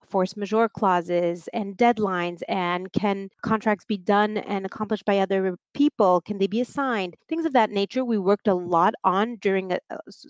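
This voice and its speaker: A French-born woman in her forties explains her law work in a medium-pitched voice, often restarting her sentences. The recording is dry and somewhat muffled. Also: dry recording. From southern France. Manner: explanatory, with frequent restarts and fillers. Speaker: guest, business attorney. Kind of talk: interview monologue.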